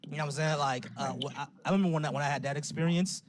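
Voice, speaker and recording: A man's voice: fairly high pitch, racing. A man hosting a casual call-in debate stream, talkative and loose, laughing easily. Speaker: livestream host. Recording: noisy livestream audio.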